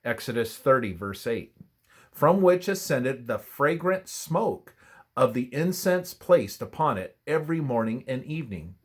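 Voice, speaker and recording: A man reading a scripted religious study aloud in a measured, reverent, formal manner. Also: medium pitch, big pitch swings, husky. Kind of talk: scripted devotional narration, read aloud.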